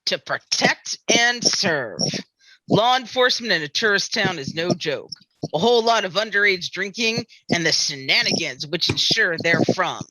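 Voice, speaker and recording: A woman's voice: on the low side, lively intonation, normal speed, bright, forceful tone, no room sound, poor audio. A woman playing a fretful beach-town sheriff at a script read, ranting with exasperated emphasis. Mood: weary griping. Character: fretful, griping small-town beach sheriff afraid of water. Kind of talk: musical script table read.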